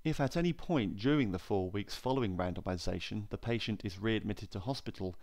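A man narrating a scripted training film in a measured, formal, clearly enunciated way. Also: lowish voice, a little mellow.

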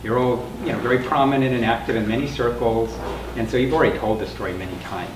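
A man's voice: mid-range voice, fast talker. An older man moderating a panel, explaining things informally and conversationally in a meeting room. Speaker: interviewer and moderator of an oral history panel. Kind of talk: informal spoken introduction to a panel. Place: meeting room with a small audience. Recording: roomy, distant mic with clear background noise.

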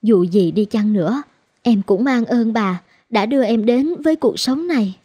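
A woman's voice: a little high, animated, moderate pace, mellow, slightly rough, no echo. A woman playing an earnest, emotive young nurse and mother, tender and sorrowful, in a cartoon drama. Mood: reflective, sad. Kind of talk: dramatic cartoon dubbing in Vietnamese.

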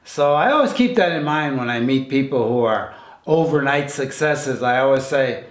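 A man's voice: medium pitch, lively intonation, normal speed. Jovial older man hosting a chatty interview. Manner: jovial, chatty, laughs often. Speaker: host, interviewer. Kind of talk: casual interview and vlog talk. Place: artist's home studio, indoors. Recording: roomy echo.

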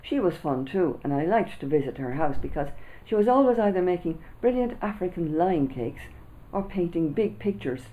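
A woman, an author reading her children's book aloud with lively storytelling, seated indoors before a camera. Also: on the low side, normal speed, phone-quality sound. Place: small indoor room before a backdrop.

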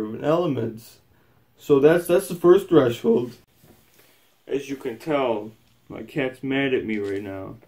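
Young male presenter, relaxed and dark-toned, loosely scripted explainer with halting, offhand delivery. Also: medium pitch. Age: young adult. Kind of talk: casual scripted history presentation. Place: small indoor room at home.

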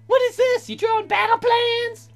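Shrill, brash young songwriter girl. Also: flat delivery, normal speed, faint music behind. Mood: perky, curious. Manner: loud, exclaiming, theatrical. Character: brash, excitable young female songwriter, cartoonishly squeaky.

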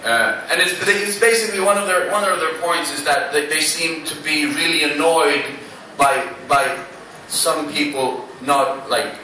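Swedish man, 30s, slam poet, sharp bright tone, self-deprecating patter, club PA caught from far off. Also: a little high, very echoey, slight background noise. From Sweden. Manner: dry, self-mocking, halting with many fillers. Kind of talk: stand-up style spoken word banter. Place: small club stage. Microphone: stand mic on stage, recorded from the audience.

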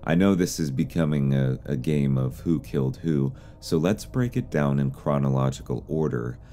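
A man with an extremely low, deep voice reads scripted narration. His tone is very dark and mellow, with little brightness to it. He speaks as the narrator of an explainer video. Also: normal speed, a little hiss or hum.

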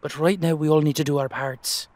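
A man's voice: fairly high pitch, fairly even intonation, a little fast, darkish tone, dry recording, lightly acted. A man playing a protective, brotherly dullahan with an Irish accent, reassuring and colloquial in an audio drama. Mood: protective, affectionate.

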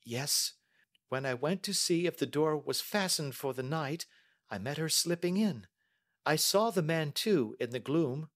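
A man voicing an earnest, anxious young Victorian lady with polite, soft-spoken pleading, in audiobook style. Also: fairly high pitch, expressive, unhurried, pitched above the performer's own voice. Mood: candid. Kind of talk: audiobook character acting.

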